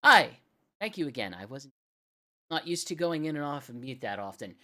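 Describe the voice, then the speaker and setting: Female music stream host: very deep register, slow, informal, halting, animated intonation. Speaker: radio show host. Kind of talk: casual live music stream commentary.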